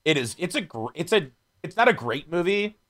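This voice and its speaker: A man chatting casually on a podcast, blunt and profane, speaking with lively emphasis. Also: a little high, brisk. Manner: animated, emphatic.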